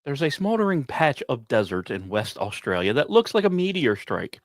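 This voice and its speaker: A man hosting a podcast, reading scripted narration in a dramatic storytelling manner with pauses and wry, casual asides. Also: mid-range voice, big pitch swings, no echo, studio quality. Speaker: podcast host.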